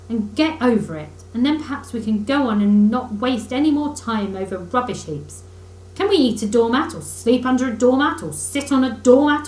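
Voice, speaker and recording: A woman's voice: on the high side, darkish tone, close to an everyday voice. A woman voicing a grumbling, literal-minded male mole from a children's storybook, with a pettish, complaining manner. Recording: roomy echo, faint background noise.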